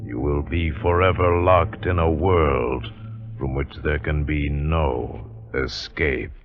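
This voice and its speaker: An actor playing an ominous, menacing figure in a 1950s radio suspense teaser. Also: male voice, a bass voice, slow pace, full character voice. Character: ominous male voice announcing a sinister experiment. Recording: muffled 1950s radio recording, music behind.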